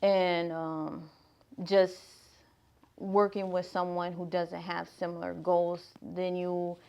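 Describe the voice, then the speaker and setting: A woman's voice: fairly low pitch, level tone, takes it slowly, creaky, highs cut off. A woman in her thirties, a guest on a casual interview, giving thoughtful, earnest advice about love. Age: 30s.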